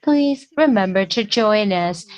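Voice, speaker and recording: A woman's voice: mid-range voice, expressive, no echo. A woman hosting an online conference, giving courteous closing remarks. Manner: slow, courteous sign-off. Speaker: event host. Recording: dull muffled audio.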